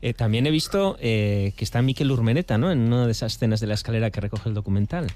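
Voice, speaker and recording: A man's voice: mid-range voice, animated, fast, darkish tone. A man with a Spanish accent from Spain, hosting a casual radio interview.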